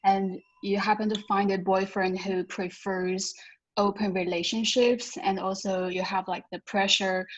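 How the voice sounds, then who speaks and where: A woman's voice: mid-range voice, little pitch movement, a little slow. A woman hosting a casual discussion among friends. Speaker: host. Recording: slightly dull lo-fi sound.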